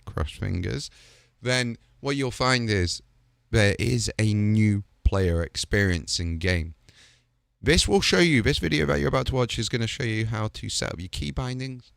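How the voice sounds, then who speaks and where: A man's voice: on the low side, vocal fry, a bit bright, no echo, clean studio sound. A man hosting a video, talking in an upbeat, chatty way. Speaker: host. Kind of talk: casual video commentary.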